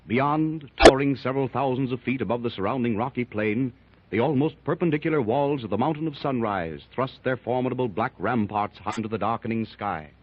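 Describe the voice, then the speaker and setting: A man narrating a 1930s adventure radio serial in a dramatic, descriptive way. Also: medium pitch. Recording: dull old 1930s radio recording.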